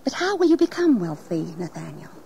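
Anxious genteel young wife, very expressive. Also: female voice, fairly low pitch, brisk, creaky. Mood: frightened, loyal. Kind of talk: historical audio drama acting. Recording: noisy 1980s audio drama tape.

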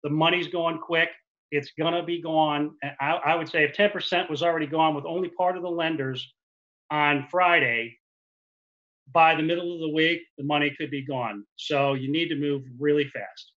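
A man's voice: fairly high pitch, steady tone, normal speed, fairly bright tone, dull audio. A man in his forties giving a webinar talk as a lender, explaining at length with frequent restarts, speaking through a headset mic on a video call. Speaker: commercial lender. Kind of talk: webinar presentation. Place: office with printed backdrop. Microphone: headset boom mic on a video call.

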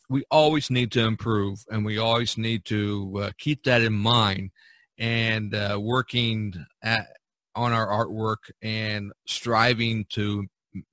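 Bright-voiced American man hosting a podcast. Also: medium pitch, no echo. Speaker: podcast host. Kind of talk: casual podcast talk.

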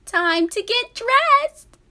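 A woman voicing a brisk, reassuring mother cat in a storybook, sing-song and encouraging. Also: extremely high pitch, animated, sharp tone, pitched above the performer's own voice, heavily acted. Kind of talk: storybook read-aloud character.

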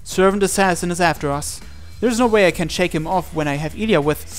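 A man's voice: high-pitched, a little fast. A man reading a visual novel aloud as a let's player, narrating it dramatically over music. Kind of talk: dramatic read-aloud narration of on-screen text. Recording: music behind the voice.

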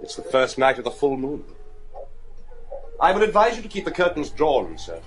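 Haunted young heir, very dramatic intonation. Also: male voice, medium pitch, wildly swooping pitch, normal speed. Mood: ominous. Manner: ominous, formal, evasive. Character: haunted, bitter young heir of a gloomy manor. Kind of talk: gothic horror radio drama acting. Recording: noisy radio drama track with ambience.

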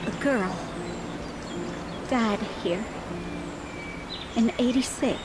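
An actress playing a theatrical, effusive female TV news reporter in a game cutscene, with drawn-out, expressive delivery. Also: on the high side, very noisy. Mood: tearful, haunted. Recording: loud music over the voice.

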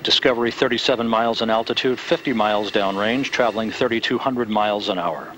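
Male launch commentator, steady measured delivery, noisy broadcast audio, rocket roar behind. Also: lowish voice. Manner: steady, measured, detail-heavy.

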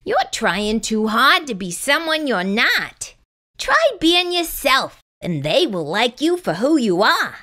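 A woman voicing a kindly grown gorilla who gives reassuring advice in a deliberate storybook manner. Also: fairly high pitch, sing-song, sharp tone, exaggerated voice. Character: kindly, encouraging adult gorilla giving wise advice.

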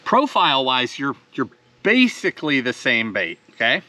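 Bright-voiced man teaching from a boat. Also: mid-range voice, very animated, moderate pace. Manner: enthusiastic, explains gear step by step. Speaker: fishing channel host. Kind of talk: instructional fishing talk to camera. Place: sitting on a bass boat on a wooded lake, outdoors.

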